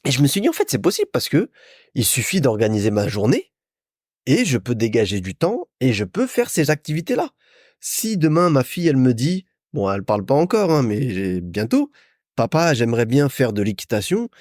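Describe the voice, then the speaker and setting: A man's voice: medium pitch, wildly swooping pitch, brisk, a little mellow, no room sound, clean studio sound. A young man from France hosting a solo podcast, telling stories in a chatty, informal way as if to a friend. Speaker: podcast host. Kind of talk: casual solo podcast monologue, storytelling.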